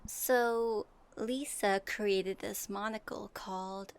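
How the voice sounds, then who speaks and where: A woman playing a gentle, curious young female traveler in a soft ASMR audio roleplay, pausing as if for an unheard partner. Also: mid-range voice, fairly even intonation, clear, resonant tone. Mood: friendly.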